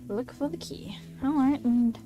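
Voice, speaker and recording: Young woman gamer, high voice, slangy casual chat, game music in back. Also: a little slow, highs cut off. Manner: giggly, slangy. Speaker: game streamer.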